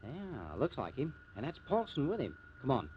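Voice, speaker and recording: An actor playing a wisecracking small-town newspaper editor and husband in a jaunty, teasing 1950s radio drama style. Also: male voice, medium pitch, wildly swooping pitch, moderate pace. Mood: breezy, pushy. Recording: muffled, noisy old 1950s radio recording.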